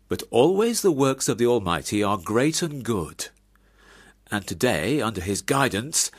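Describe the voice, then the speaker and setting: A man's voice: fairly high pitch, husky, heavily acted. An actor playing a proud, pious clergyman father in a radio drama, speaking in a formal, sermonising manner. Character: proud, pious Victorian clergyman father, exclamatory and scripture-quoting.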